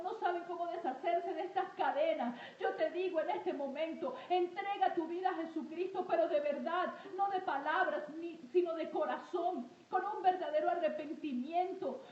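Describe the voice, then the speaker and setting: A woman's voice: very high-pitched, lo-fi sound. A woman leading a fervent prayer and exhortation, speaking emphatically and repetitively with frequent invocations. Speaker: prayer leader preaching to an online audience. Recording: roomy echo, faint background noise.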